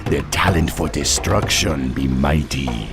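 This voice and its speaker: An actor playing a wily troll tribe chieftain in a fantasy game, with a gravelly Caribbean accent and a drawling, commanding manner. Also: male voice, extremely low pitch, a little slow. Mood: calm, sly. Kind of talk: fantasy game character acting. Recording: music under the voice.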